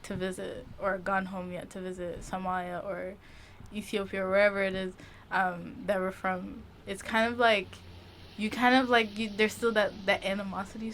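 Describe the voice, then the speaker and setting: A woman's voice: mid-range voice, fairly bright tone, slight background noise. A young woman who grew up in Maine, speaking as a podcast guest in a rambling way that is full of "like" and "um". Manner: rambling, lots of "like". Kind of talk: casual podcast chat.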